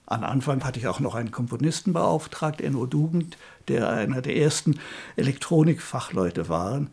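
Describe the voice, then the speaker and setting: A man's voice: mid-range voice, animated, normal speed, creaky, darkish tone, slightly rough. An older man, a radio drama director, reminiscing conversationally in an interview at home. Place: apartment.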